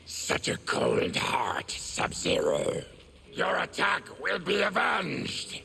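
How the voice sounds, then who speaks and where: An actor playing a bitter, proud, vengeful mutant ex-soldier with a gravelly growl, in formal, menacing fighting-game dialogue. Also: male voice, high-pitched, huge pitch swings, slow pace, pushed and bright, a little hiss or hum, exaggerated voice. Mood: mournful, then vengeful fury.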